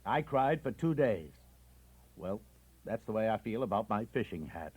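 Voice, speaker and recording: An actor playing a stubborn middle-aged American husband in a 1960s radio comedy sketch, exasperated and emphatic, insisting by repetition. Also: male voice, lowish voice, very animated, moderate pace, a little mellow, breathy edge. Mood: plaintive. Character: stubborn, indignant middle-aged husband fond of his old fishing hat.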